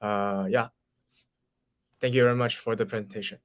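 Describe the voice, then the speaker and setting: Smooth, dry-voiced young man hosting. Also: lowish voice, fairly even intonation, normal speed, no echo. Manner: polite, encouraging. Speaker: event host. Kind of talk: moderating a video call. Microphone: video call.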